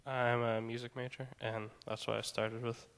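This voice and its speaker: A young man, a music student, speaking in a relaxed, slightly joking way. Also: medium pitch, moderate pace, vocal fry, clear, resonant tone.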